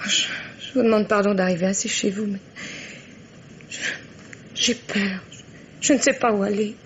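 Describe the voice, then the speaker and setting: A frightened wife on the run from a violent husband as acted by a woman in a vintage radio mystery. She speaks haltingly at a middle pitch, repeating herself in distress. The old recording is dull, with faint noise. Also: fairly even intonation, close to an everyday voice. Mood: breathless, afraid. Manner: halting, hesitant, repeats words.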